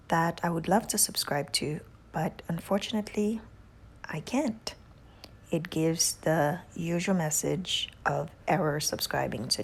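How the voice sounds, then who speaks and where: Slow-talking Haitian-accented woman vlogger. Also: low-pitched, gravelly, slightly rough. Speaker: beauty channel host. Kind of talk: casual vlog shout-outs.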